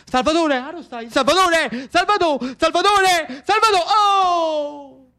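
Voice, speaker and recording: A man's voice: very high-pitched, slow, bright, forceful tone, voice pushed up, exaggerated voice. A man playing an impetuous, anguished young man with a Neapolitan accent in an agitated, urgent stage monologue. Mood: anxious, searching. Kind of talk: theatrical monologue acting.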